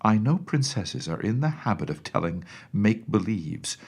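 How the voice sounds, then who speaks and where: A skeptical, stern children's nurse from a storybook, put on by a man. She scolds emphatically and brushes things aside. The pitch moves a lot and the voice has a gravelly, husky grain. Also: sing-song, normal speed, a little mellow, heavily acted. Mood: cold, dismissive. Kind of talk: acted storybook dialogue.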